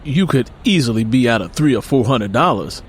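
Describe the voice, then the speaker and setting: A man's voice: medium pitch, lively intonation, a little fast, slight background noise, natural voice. A man voicing a streetwise, sly handyman in an audiobook, casual, slangy and persuasive. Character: streetwise, sly male handyman sizing up a deal.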